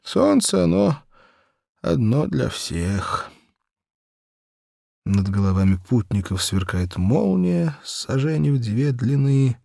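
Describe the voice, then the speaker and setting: A man narrating a literary story for children as an audiobook, in an expressive, measured, dramatic way. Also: fairly low pitch, dry recording, clean studio sound.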